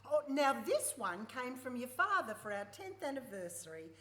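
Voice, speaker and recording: A woman's voice: a little high, sing-song, normal speed, fairly bright tone. A woman playing a prickly, wry ageing farm mother who is protective of her daughter, in radio play dialogue. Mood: wry reminiscing. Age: older. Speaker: actor in a live radio play. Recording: roomy live recording with clear background noise.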